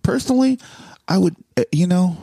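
A man's voice: high voice, lively intonation, a little fast, vocal fry, mellow, husky, no room sound. A man hosting a casual podcast chat, rambling with restarts and frequent laughter. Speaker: podcast host.

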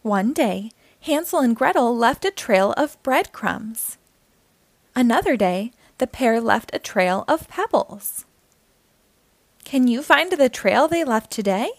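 A woman hosting a children's storytime, reading aloud in an animated, encouraging way and speaking directly to young listeners. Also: fairly high pitch, slow, dry recording.

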